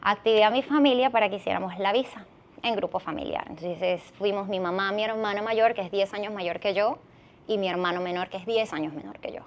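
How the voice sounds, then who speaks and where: A woman's voice: medium pitch, fairly quick. A woman in her thirties with a Venezuelan accent, telling a personal story conversationally as a podcast guest.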